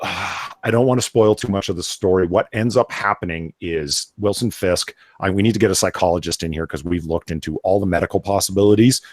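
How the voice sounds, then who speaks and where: Deep-voiced man talking comics, close dry sound. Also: a little fast, a little mellow, no echo. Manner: sweary, opinionated. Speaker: panelist on a comics livestream. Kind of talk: casual comic book review chat.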